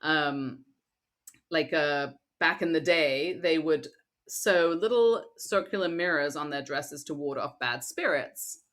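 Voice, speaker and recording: Slightly low-voiced woman chatting casually. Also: slow, a bit bright. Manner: upbeat, chatty, informal. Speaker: podcast co-host. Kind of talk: casual conversational podcast chat.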